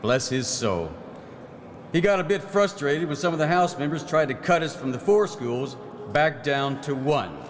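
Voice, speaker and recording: An actor playing a proud, folksy 1909 farm-minded legislator who reminisces in a plain-spoken theatrical monologue. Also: male voice, on the high side, animated, normal speed, natural voice. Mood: wry, reminiscing. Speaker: actor in historical re-enactment. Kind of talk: theatrical first-person historical monologue. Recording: echoey hall, clear background noise.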